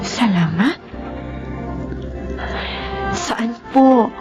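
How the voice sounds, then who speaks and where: A middle-aged woman from the Philippines tells her own story in a heartfelt, tearful manner. Her voice sits a little high, and music plays clearly beneath her narration. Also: expressive, drawn-out words. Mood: tender. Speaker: narrator and main character. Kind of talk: emotional first-person drama narration. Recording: background music under the voice.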